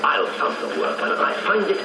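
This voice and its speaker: An actor playing a prickly, obsessive English archaeologist in a radio drama, speaking in a terse, earnest, clipped way. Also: male voice, low voice, wildly swooping pitch, fairly quick. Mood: insistent, intense. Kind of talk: 1990s radio drama acting. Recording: very noisy, roomy radio drama copy.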